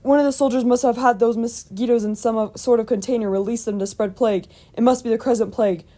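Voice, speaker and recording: Lady playing a serious army man, emphatic and commanding, mellow tone, storybook adventure. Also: mid-range voice, fairly quick, close to an everyday voice. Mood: alarmed. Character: grim, commanding male soldier.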